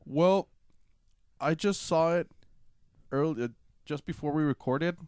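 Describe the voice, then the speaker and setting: A man's voice: a little high, unhurried, a little mellow, clear, resonant tone, no room sound, clean studio sound. A man co-hosting a film podcast, giving his opinions in loose, casual banter with some swearing. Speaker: podcast co-host reviewing films.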